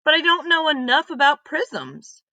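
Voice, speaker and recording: A woman voicing a loud, excitable, bossy young girl detective in a children's storybook. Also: sits high, unhurried, dry recording, pitched above the performer's own voice, full character voice, low-quality recording. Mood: eager, curious. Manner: bossy, exclamatory.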